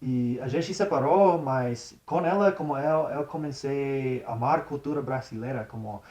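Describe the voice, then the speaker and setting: A man's voice: mid-range voice, normal speed, a little mellow, dull audio. American man speaking learned Portuguese. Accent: American English. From United States. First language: English. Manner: hesitant, many restarts and fillers, slips into English "yeah". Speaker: interview guest. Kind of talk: casual interview chat.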